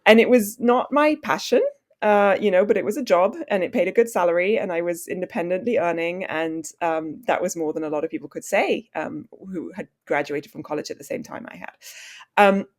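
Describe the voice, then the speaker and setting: A Swiss-raised woman in her thirties tells her story in a conversational podcast interview. Her voice sits a little low, and she sounds articulate, self-deprecating and easy, with frequent "um"s. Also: a little fast. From Switzerland. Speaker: author and journalist guest.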